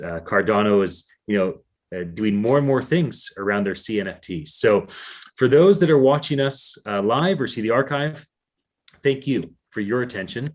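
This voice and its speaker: A man hosting a live-streamed interview, friendly and effusive with his guests. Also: on the low side. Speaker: event co-producer and host.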